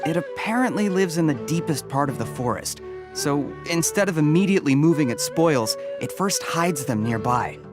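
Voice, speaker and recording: A man playing a steady, calm villager. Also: fairly high pitch, normal speed, close to an everyday voice. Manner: steady, explanatory. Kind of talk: game voice acting. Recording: game audio over music.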